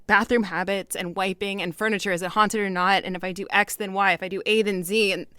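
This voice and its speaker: An actress playing an anxious, wry young woman who confides in a candid, halting, rambling way in an audio drama. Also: medium pitch, fairly even intonation, pushed and bright, smooth, no room sound, clean studio sound, close to an everyday voice. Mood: reflective, resigned. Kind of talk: naturalistic audio drama dialogue.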